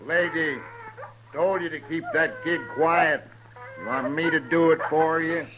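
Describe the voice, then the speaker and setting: A man's voice: fairly high pitch, steady tone, measured pace, natural voice. Man playing threatening outlaw henchman, noisy recording. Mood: cold threat. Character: cold, bullying male outlaw henchman. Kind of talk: 1950s radio western acting. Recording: noisy old radio recording.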